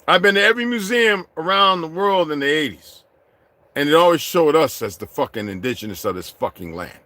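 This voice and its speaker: A middle-aged man delivering a heated, profane vlog rant, swinging from low muttering to shouting. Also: animated, fairly quick, dry recording. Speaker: vlogger. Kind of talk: profane ranting monologue.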